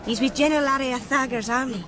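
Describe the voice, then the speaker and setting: A woman's voice: high-pitched, fast talker, background noise, lightly acted. An actress playing a polite but cheeky, innocent young Spanish girl in a radio drama, speaking frankly and artlessly. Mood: playful, curious.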